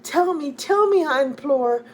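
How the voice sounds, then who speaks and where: A woman's voice: high voice, lively intonation, a little slow, a little mellow. A woman voicing a haunted, grieving man from a Gothic poem, with an impassioned, declamatory delivery. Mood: desperate pleading.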